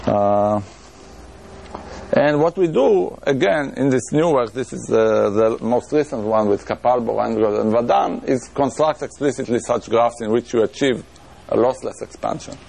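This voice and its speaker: Gravelly lecturer with an Israeli accent. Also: male voice, medium pitch, normal speed, darkish tone, slight background noise. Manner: thinking aloud with frequent restarts and fillers, occasional chuckles. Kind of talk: academic lecture on math. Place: lecture room.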